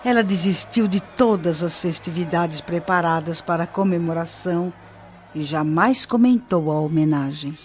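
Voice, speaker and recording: A Brazilian actress playing a kindly, reverent female spirit helper who tells stories to a newcomer in a measured radio-drama manner. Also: lowish voice, darkish tone, a little hiss or hum, close to an everyday voice. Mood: solemn, admiring. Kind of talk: radio drama acting, storytelling. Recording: dull lo-fi radio drama over music.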